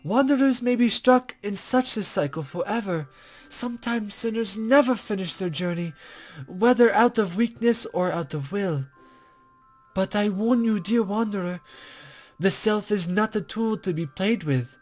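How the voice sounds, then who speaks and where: A man voicing a cryptic, serene female guide to the afterlife from a visual novel, measured, formal and gently condescending. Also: high-pitched. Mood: solemn, knowing. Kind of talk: character reading from a visual novel.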